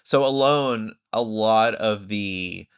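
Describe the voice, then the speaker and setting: A man hosting a podcast, telling rambling, animated stories in casual conversation. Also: fairly low pitch, slow, clear, resonant tone. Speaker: podcast host.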